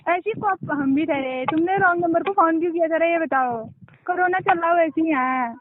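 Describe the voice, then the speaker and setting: A high-pitched woman, teasing and dodging every question. Her voice comes through a tinny phone line. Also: normal speed, noisy background. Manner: evasive, teasing, dismissive. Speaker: prank call receiver.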